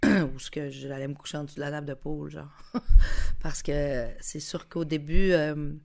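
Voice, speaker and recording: A woman in her forties, born in Mexico, with a Lac-Saint-Jean Quebec French accent, telling stories in an animated, casual way with frequent laughs as a podcast guest. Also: low voice, moderate pace, creaky. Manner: animated, laughs often between phrases, many fillers. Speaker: guest musician. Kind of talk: casual storytelling chat.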